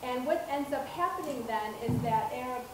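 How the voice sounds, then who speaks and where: Middle-aged female lecturer, soft-spoken, slightly high voice, picked up from afar in a very echoey auditorium with room noise. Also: lively intonation, recorded from a distance. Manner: soft-spoken, measured, with frequent ums and restarts. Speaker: professor giving a public talk. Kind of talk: lecture to an audience. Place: dark auditorium stage with projector screen. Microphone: handheld mic, recorded from a far camera.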